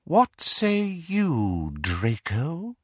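A man voicing a cold, menacing male dark lord with a quiet, carrying voice, speaking slowly and mockingly. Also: a little high, huge pitch swings, dry recording, clean studio sound, voice pushed up, exaggerated voice. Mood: taunting. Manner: slow, silky and mocking. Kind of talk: audiobook character voice.

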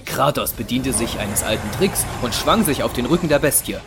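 A man narrating a scripted dramatic story in a measured, deliberate way. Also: medium pitch, animated. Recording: music under the voice.

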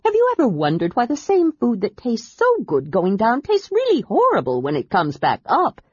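An excitable young male kender chatters in a high, eager voice, as a woman reading the story puts him on. He sounds a little husky, and the recording is muffled and dry. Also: normal speed, no echo, heavily acted.

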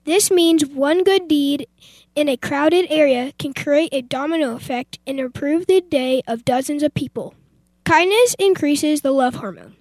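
A woman's voice: high-pitched, measured pace, darkish tone, no echo. A female youth radio presenter reading out scripted facts.

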